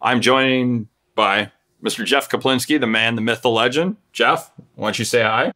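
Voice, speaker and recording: An American man in his forties presents to camera with a bright, forceful tone. His pitch is average for a man, and his manner is upbeat and informal, like a sales director talking to colleagues. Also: moderate pace. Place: furnished living room of a house, indoors.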